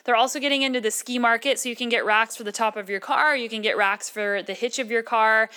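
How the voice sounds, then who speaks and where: A woman's voice: fairly high pitch, fairly even intonation, fast talker, sharp tone, smooth. A woman hosting a podcast, talking in an informal, chatty way as she introduces and interviews. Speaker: podcast host.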